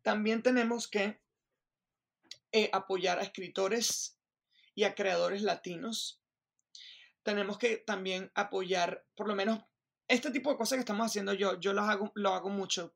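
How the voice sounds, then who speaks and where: A woman from Venezuela with a Venezuelan accent, talking as a guest in an interview in an animated way, restarting sentences and checking in with the listener. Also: mid-range voice, moderate pace, gravelly. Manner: animated, restarts, keeps asking "me entiendes". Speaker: Broadway performer, guest. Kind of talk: interview conversation.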